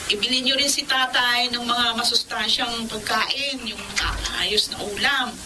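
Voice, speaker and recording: An actress playing a dutiful but curt grown Filipino daughter in a radio drama. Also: female voice, on the high side, fairly even intonation, a little slow, background noise, natural voice.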